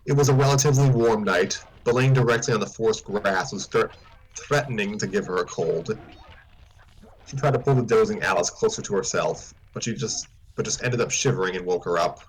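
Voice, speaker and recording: A man's voice: fairly low pitch, brisk, breathy edge, low-quality recording. A man reading a story aloud informally, now and then stumbling and repeating words. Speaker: story reader on a stream.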